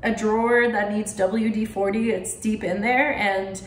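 A woman's voice: mid-range voice, steady tone, measured pace, a little hiss or hum. A young woman from Poland, chatting casually to camera from an armchair in her living room and gesturing a lot. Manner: chatty, with lots of hand gestures. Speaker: vlogger. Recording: roomy echo in a living room.

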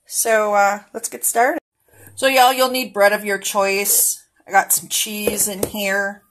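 A woman chats her way through a home cooking video, easygoing and folksy, calling her viewers y'all. Her voice sits at an everyday middle pitch, picked up by a phone over the stove with a faint hiss of noise behind her. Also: little pitch movement, slow, a bit bright. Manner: chatty, homey, talks to viewers as y'all. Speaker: cooking vlogger. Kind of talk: casual cooking demo narration. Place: home kitchen, indoors.

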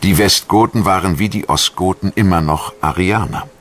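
A man reading scripted documentary narration in a measured, formal manner, as a studio voice-over. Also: sits low, a bit bright. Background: music.

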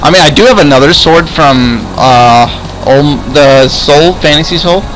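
A man's voice: fairly high pitch, unhurried, a bit bright, a little hiss or hum, rough recording. A man improvising in-game roleplay chat in a hesitant, stammering way, often repeating phrases. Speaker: host of a gaming roleplay series. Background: background music.

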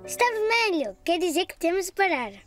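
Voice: very high-pitched, big pitch swings, a little fast, over music. A performer voicing a cheerful, eager four-year-old boy in a European Portuguese children's cartoon dub. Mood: attentive.

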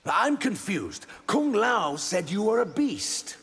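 A wary lizard shapeshifter in a fighting game. The voice is fairly high and husky, slow but very lively in pitch, with hesitant earnestness. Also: male voice, heavily acted. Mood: earnest, wary. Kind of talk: dramatic fighting game dialogue. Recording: reverberant hall-like game mix.

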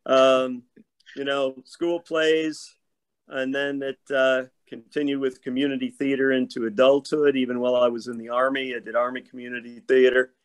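Hoarse man in his fifties. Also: medium pitch, level tone. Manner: measured, detailed storytelling. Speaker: actor guest. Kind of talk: casual interview chat. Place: home room. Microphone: video call.

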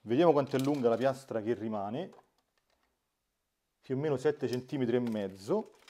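Guy in his 30s doing a diy how-to, mellow darker voice, normal pitch, small room at home. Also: moderate pace. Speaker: host of a DIY smart-home channel. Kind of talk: hands-on tutorial narration to camera.

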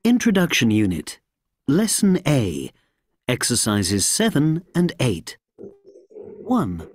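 A woman announcing unit, lesson and exercise numbers on course audio in a formal, measured way. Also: a bass voice, huge pitch swings, darkish tone, no echo.

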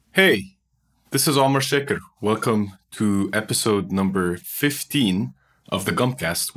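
A man hosting a podcast, talking earnestly and reflectively with an old friend and laughing now and then. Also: mid-range voice. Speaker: podcast host. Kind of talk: casual interview chat.

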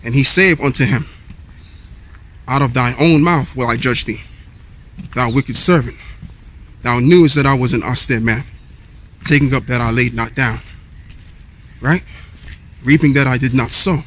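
A man's voice: mid-range voice, a little slow, pauses often, fairly bright tone. Guy in his 30s preaching into his phone cam on a park walk, chatty, reads bible verses, bit of outdoor hiss. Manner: conversational preaching with fillers, reads verses aloud. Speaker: street preacher vlogger. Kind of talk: walking vlog, preaching and reading scripture. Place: outdoors in a wooded park path. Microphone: handheld camera at arm's length while walking.

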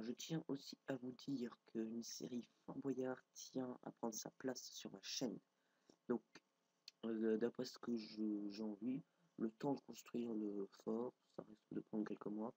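Young man with a head cold, husky, dark-toned, hesitant gameplay talk, dry close sound. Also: fairly low pitch, flat delivery, a little slow, no room sound, low-quality recording. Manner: thinks aloud, frequent fillers and hesitations. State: has a cold, stuffy nose. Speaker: gameplay video creator. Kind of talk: casual commentary while building in a game.

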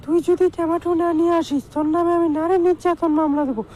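A woman's voice: very high-pitched, steady tone, fast, dark tone. A woman playing a bossy, hot-tempered village wife in a comic cartoon, scolding with a Bangladeshi Bengali accent. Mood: angry, threatening. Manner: scolding, demanding.

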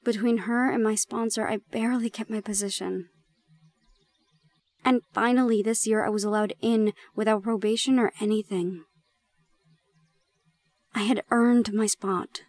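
A woman playing a shy, gentle young gorgon in an intimate audio roleplay, speaking softly and haltingly. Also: mid-range voice, fairly even intonation, no room sound, clean studio sound, natural voice. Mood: reflective, confiding. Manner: soft, hesitant, halting.

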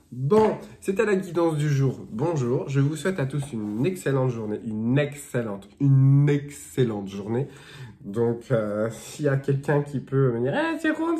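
A man's voice: mid-range voice, a little mellow. A man in his thirties with a cold, chatting loosely with many fillers and restarts, then reading aloud from a book, filmed in a small room on a camera close by. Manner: rambling, full of fillers and restarts. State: has a cold. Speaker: spiritual guidance vlogger. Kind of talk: casual chat, then reading aloud from a dream dictionary. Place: small indoor room with bare walls.

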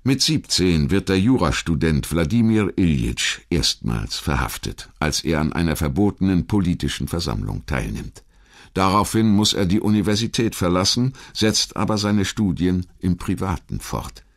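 A man reading a scripted historical narration in a measured, articulate storytelling manner. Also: deep voice, animated. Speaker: documentary narrator.

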